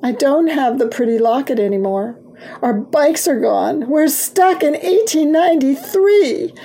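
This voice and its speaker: A woman voicing an excitable, tender-hearted young girl in a children's storybook, with emotional delivery. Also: on the high side, a little slow, slight background noise. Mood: upset.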